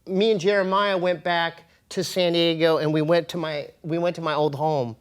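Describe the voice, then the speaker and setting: A man telling personal stories as an interview guest, in an informal, slangy way with halting, repeated phrases. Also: on the high side, steady tone, clean tone. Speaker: interview guest, comedian and podcast host. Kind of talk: casual animated storytelling.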